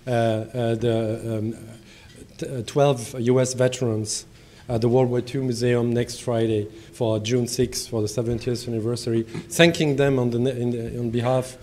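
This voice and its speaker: A French man with a French accent moderating a trade panel, speaking formally with many pauses, fillers and restarts. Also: medium pitch, little pitch movement, dark tone. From France.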